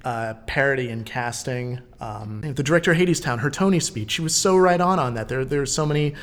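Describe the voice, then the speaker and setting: A man's voice: mid-range voice, animated, a little fast. A man co-hosting a podcast, speaking emphatically in a casual panel discussion. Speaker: guest co-host on a theater podcast.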